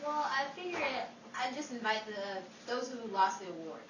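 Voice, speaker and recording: A woman's voice: a little high, lively intonation, normal speed, a bit bright, recorded from a distance. A woman playing an earnest, polite female visitor in an old-time radio style play. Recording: very noisy, echoey room, off-mic.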